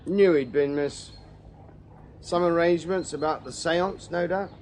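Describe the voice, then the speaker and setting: An actor playing a shrewd, dry-witted Victorian police sergeant in a radio drama. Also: male voice, on the high side, a little slow, darkish tone, a little hiss or hum, lightly acted. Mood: wry. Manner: dry, wry questioning. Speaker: detective sergeant.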